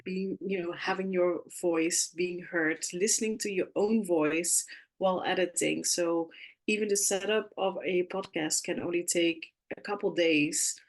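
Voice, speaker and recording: A woman's voice: on the low side, fairly even intonation, a little slow, low-quality recording. A woman with a Dutch accent, a non-native English speaker, earnestly sharing how she runs her podcast. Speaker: podcaster sharing in group call. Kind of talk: casual sharing.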